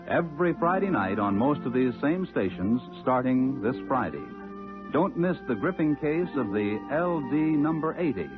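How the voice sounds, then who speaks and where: A man announcing network promos in the style of 1950s American radio. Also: medium pitch. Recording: tinny 1950s radio recording with music.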